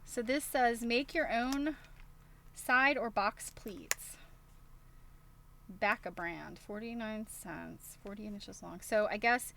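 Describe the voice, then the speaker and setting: A woman's voice: fairly high pitch, takes it slowly, gravelly, bright, forceful tone, slight background noise. A woman hosting a casual unboxing livestream, rambling and thinking aloud as she shows items and puts questions to her viewers. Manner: chatty show-and-tell, asking viewers questions. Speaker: livestream host.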